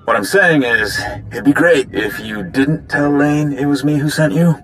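A man's voice: on the high side, unhurried, a little hiss or hum, natural voice. A man playing an affable, cocky warrior in a video game, talking in a wry, casual way. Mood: wry, coaxing. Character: cocky, affable male warrior asking a favor. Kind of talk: video game cutscene acting.

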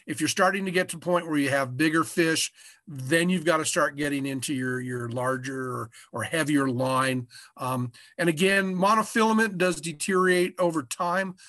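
Halting older American man, fairly high voice. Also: bright, forceful tone, dry recording, clean studio sound. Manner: halting, repeats words. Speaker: fishing instructor. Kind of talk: informal instructional presentation. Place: small indoor room, curtain backdrop. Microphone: webcam video call.

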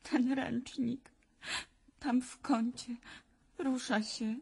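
An actress playing a haunted, well-bred young woman in a radio drama, halting, tense and breathless with fear. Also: fairly high pitch, fairly even intonation, creaky, darkish tone, slightly rough, exaggerated voice. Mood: dread. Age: young adult.

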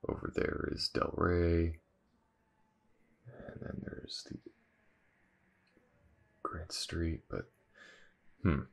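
An extremely low-voiced, gruff detective played by a male voice actor in an intimate audio roleplay. He is weary and aloof, muttering slowly and haltingly, with heavy gravel in the voice as he addresses his new partner. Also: steady tone, exaggerated voice. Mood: pensive. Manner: halting, muttering, sighing, informal.

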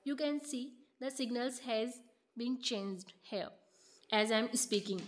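A woman's voice: fairly high pitch, unhurried. A woman in her twenties with an Indian accent, presenting an instructional demonstration plainly and step by step. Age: 20s. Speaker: product demonstrator. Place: office showroom with shelves, indoors. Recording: roomy, echoing indoor recording.

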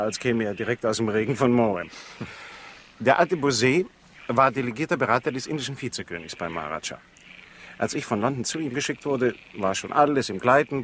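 A man's voice: lowish voice, a bit bright, natural voice. An actor playing a wry, aggrieved British intelligence man who recounts affairs in a 1960s radio crime play. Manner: wry, opinionated, storytelling. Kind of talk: 1960s German-language radio crime drama acting. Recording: faintly noisy old radio recording.

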